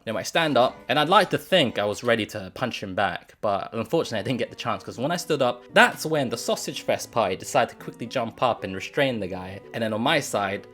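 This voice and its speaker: A man in his thirties who hosts an advice channel, telling a story in a chatty, animated, joking way from a small home office. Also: medium pitch. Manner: chatty, jokey, animated retelling. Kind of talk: casual storytelling to camera.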